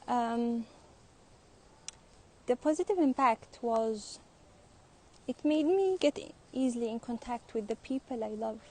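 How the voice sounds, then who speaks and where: A young woman student interviewing people and then sharing her own thoughts in a vlog, speaking thoughtfully with pauses. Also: fairly high pitch, dark tone. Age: young adult. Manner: slow, thoughtful, hesitant delivery. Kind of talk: street interviews and personal vlog talk.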